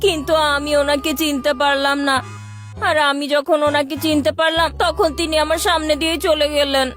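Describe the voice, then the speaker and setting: A woman voicing an excitable young cartoon boy, an eager and dramatic cricket fan with a whiny streak. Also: high-pitched, a little fast, soft background music. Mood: upset, dramatic. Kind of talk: cartoon voice acting.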